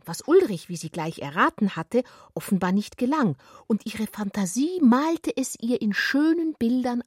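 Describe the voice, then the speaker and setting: Expressive woman narrator with a husky edge. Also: fairly high pitch, no room sound, studio quality. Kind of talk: literary narration for a radio play.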